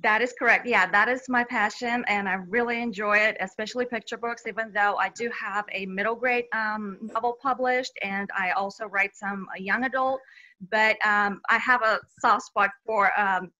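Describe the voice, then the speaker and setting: A woman's voice: mid-range voice, fairly even intonation, normal speed, sharp tone, poor audio. A woman from Bulgaria, a children's book author answering interview questions cheerfully, with frequent laughs and fillers.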